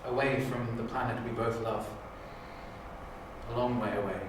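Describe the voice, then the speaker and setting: Secretive elderly sci-fi father, acted by a man, grave and halting, mid pitch, heard in a heavily echoing space. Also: fairly even intonation, natural voice. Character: weary, secretive old alien Time Lord father. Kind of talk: improvised audio drama audition. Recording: very echoey room, distant mic, clear noise.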